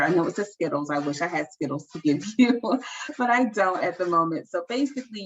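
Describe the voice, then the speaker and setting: Upbeat woman hosting an online workshop. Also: medium pitch. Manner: chatty and upbeat, many ums and likes. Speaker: workshop host. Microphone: video call. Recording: slightly dull video call audio with faint noise.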